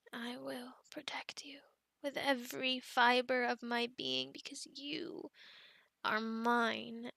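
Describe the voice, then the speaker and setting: Woman acting as a female werewolf captor, ASMR roleplay, bright creaky voice, breathy whispers, dry close mic, possessive, obsessive, formal, softly menacing. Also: high voice, dry recording, heavily acted. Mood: possessive, soothing. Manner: slow, formal wording, soft menacing coaxing, often whispering. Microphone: close microphone.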